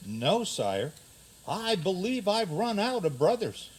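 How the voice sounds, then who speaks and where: Man playing a high-voiced, smug prince. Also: very animated, slow, husky, heavily acted. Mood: courtly, defensive. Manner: courtly, self-justifying. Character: smug, formal fairy-tale prince defending himself. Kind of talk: community radio theater comedy acting.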